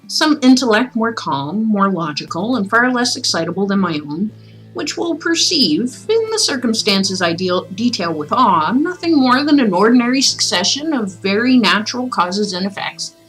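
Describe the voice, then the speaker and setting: A woman reading as a condemned, haunted narrator of a gothic horror tale, solemn, dramatic and deliberate. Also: medium pitch, big pitch swings, a bit bright, low-quality recording. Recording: music playing behind the voice.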